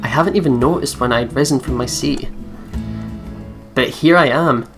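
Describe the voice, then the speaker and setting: A woman's voice: very deep voice, moderate pace. A woman hosting a let's play, reading visual novel narration aloud and breaking off into casual, exclaiming reactions. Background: game music.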